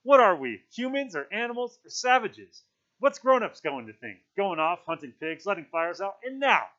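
A man voicing an anxious, indignant but sensible boy in a storybook, with a trilling, squeaky voice and ungrammatical, protesting speech. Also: lively intonation, a little fast, a bit bright, highs cut off. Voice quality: trilling, squeaky when upset.

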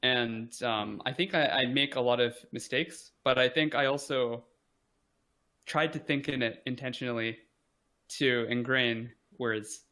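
A young man from the United States answers questions in a relaxed interview. His voice is bright and forward. He talks in loose, hesitant runs full of fillers and self-deprecating remarks. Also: medium pitch, measured pace. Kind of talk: casual conversation.

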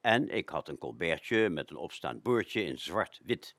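A man presenting stories on local radio in a fairly low voice. His intonation is very varied, and the sound is dry with little room in it. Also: expressive, unhurried, a bit bright, no room sound. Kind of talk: telling anecdotes on a local radio show.

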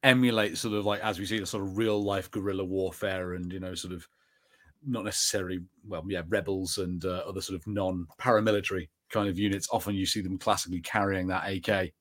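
Low-pitched English man chatting on a podcast. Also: steady tone, fast, fairly bright tone. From England. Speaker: podcast co-host reviewing a TV episode. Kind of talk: casual chat, fan commentary.

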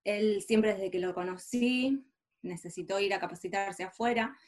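A woman's voice: medium pitch, normal speed. A woman with an Argentine accent from Rosario, telling her own story casually as an interview guest in a chatty, informal way. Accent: Rosario Argentine Spanish.

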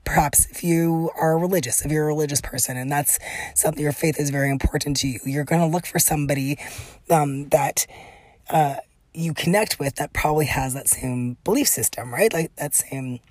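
Low, breathy, raspy-voiced woman. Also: fairly quick, darkish tone, no room sound. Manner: chatty, laughs often, many fillers. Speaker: podcast host. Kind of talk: casual solo podcast monologue.

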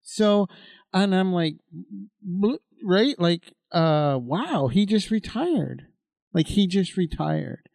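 A man's voice: sits high, lively intonation, takes it slowly, creaky, a little mellow, no echo, clean studio sound. A man in his fifties co-hosting a casual podcast chat, talking in a loose, rambling way with lots of fillers and asides. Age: 50s. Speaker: podcast co-host.

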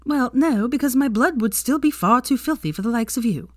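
A woman voicing an adult female teacher who is brisk and gleeful, with a high, emphatic delivery. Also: sing-song, no room sound, studio quality.

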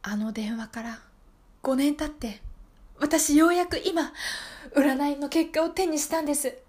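High-pitched desperate marriage-hungry woman, acted by a woman. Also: measured pace, a little mellow. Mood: agitated, earnest. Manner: pleading, breathless repetition, overwrought. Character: desperate, gullible single woman in her thirties seeking marriage. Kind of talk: improvised radio drama acting.

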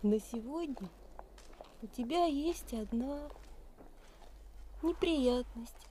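An actress playing a lively, teasing young fiancee in a radio play, coaxing and insisting. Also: female voice, sits high, takes it slowly, a little hiss or hum. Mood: teasing, insistent. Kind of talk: 1980s Soviet radio play acting. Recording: theatrical radio play with music behind.

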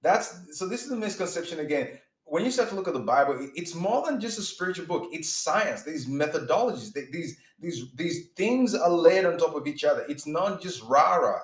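A man's voice: a little high, animated, moderate pace, echoey room. A man giving a sermon-style teaching in an earnest way, often repeating words and restarting as he builds his points. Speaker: preacher.

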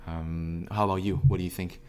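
A man's voice: sits low, level tone, a little fast, darkish tone, smooth. A man in casual discussion, talking in a thoughtful, hesitant way with frequent restarts. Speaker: conversation partner.

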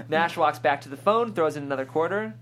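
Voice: medium pitch, animated, a little fast, a bit bright, a little hiss or hum. A person reading screenplay stage directions aloud as scripted narration at a table read.

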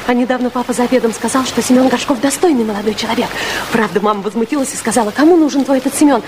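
An actress playing an anxious, candid nineteen-year-old sweetheart in a radio drama, confiding and emotionally open. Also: female voice, a little high, rapid-fire, natural voice. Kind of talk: 1960s Soviet radio drama acting. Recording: noisy 1960s radio recording, rain-like hiss.

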